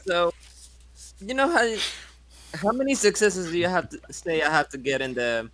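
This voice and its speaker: A man's voice: high-pitched, normal speed, a bit bright, poor audio. A man playing a tabletop role-playing game, chatting casually as a player.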